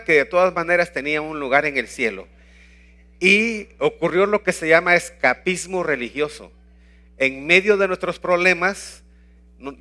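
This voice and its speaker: Bright-voiced Guatemalan man teaching. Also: fairly high pitch. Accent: Guatemalan Spanish. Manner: earnest, emphatic preaching with rhetorical questions. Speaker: pastor and evangelism teacher. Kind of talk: teaching talk with slides.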